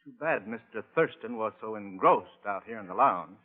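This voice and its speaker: A self-important doctor in a vintage radio play. He blusters with indignation and a lively, swooping pitch. The sound is thin and phone-like. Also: moderate pace. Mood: indignant. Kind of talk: 1940s radio acting. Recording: tinny phone-like old radio recording.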